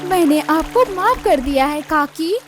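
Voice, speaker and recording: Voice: high voice, expressive, measured pace, music in the background. A performer voicing a meek young female bird, a polite, pleading orphan daughter-in-law in a cartoon. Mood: gentle, forgiving.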